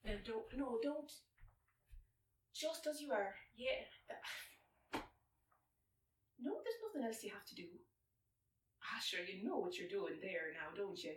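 A woman with an Irish accent playing a flustered, chatty wife in an intimate audio roleplay, halting and coaxing, often dropping into a breathy whisper. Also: medium pitch, a little hiss or hum, natural voice, poor audio. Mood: playful, bustling.

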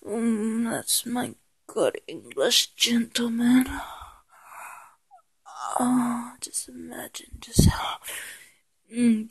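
A woman in an intimate, ASMR-style erotic roleplay, mostly whispering in a breathy voice with a slow, teasing murmur and lingering pauses. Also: fairly high pitch, a little mellow, dry recording.

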